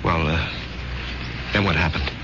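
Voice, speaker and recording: An actor playing a quiet, uneasy husband with a halting, hesitant manner in a 1970s radio drama. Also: male voice, extremely low pitch, background noise. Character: quiet, uneasy husband and salesman who bottles things up. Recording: muffled old radio recording with music.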